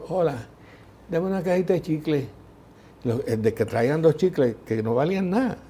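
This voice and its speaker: An older man with a Puerto Rican accent, a guest telling lively stories in an interview. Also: a little high, normal speed, a little hiss or hum. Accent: Puerto Rican Spanish. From Puerto Rico. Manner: lively anecdotal storyteller, mimics voices in quotes. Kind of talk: storytelling interview.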